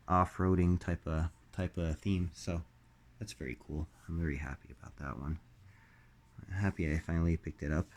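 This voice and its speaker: Very deep-voiced man, slightly raspy. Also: a little mellow. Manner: halting, many ums and uhs. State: raspy voice recovering from illness. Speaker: diecast collector host. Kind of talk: casual unboxing commentary.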